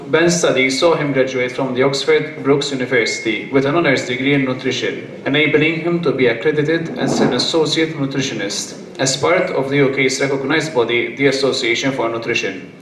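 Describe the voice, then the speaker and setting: A man serving as conference moderator, reading a guest's introduction in a formal, even manner. The echo of a large hall surrounds him, with a faint hum behind. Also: medium pitch. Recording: echoey hall with faint noise.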